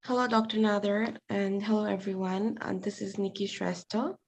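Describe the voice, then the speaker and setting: Woman, from Nepal, gravelly voice, eager and polite, video call. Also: mid-range voice, flat delivery, moderate pace, a little hiss or hum, rough recording. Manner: polite and enthusiastic. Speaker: medical assistant. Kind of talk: self-introduction on a video call.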